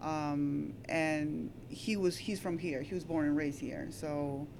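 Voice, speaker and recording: A woman's voice: sits low, steady tone, takes it slowly, gravelly, background noise. A woman from Peru with a Peruvian Spanish accent, chatting casually as a shop co-owner in an interview.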